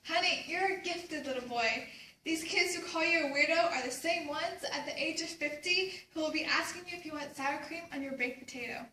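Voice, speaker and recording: A woman's voice: high voice, fairly quick, pushed and bright. A woman playing a busy, sardonic, exasperated mother in readers theater. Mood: brisk, dry. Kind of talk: readers theater comic stage acting. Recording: echoey room, distant mic, faint noise.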